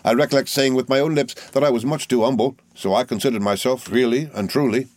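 A man's voice: mid-range voice, fast talker, a little mellow, no room sound, voice pushed up, close to an everyday voice. A man reading aloud and voicing a fawning, falsely humble young male clerk with a wheedling, obsequious manner.

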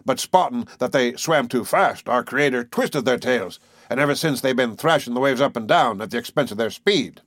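A man voicing an excitable, boastful Canadian whale harpooner in an audiobook, blunt and emphatic. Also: medium pitch, moderate pace, no room sound, clean studio sound. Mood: earnest storytelling. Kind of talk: audiobook character voice.

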